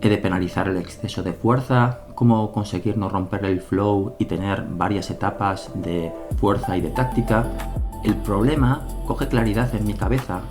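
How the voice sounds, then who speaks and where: A man with an accent from Spain reads his devlog narration in a low, steady voice. He is earnest and plain-spoken, setting out his points one by one. Clear background music plays beneath him. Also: normal speed. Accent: Spain Spanish. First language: Spanish. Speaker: solo game developer.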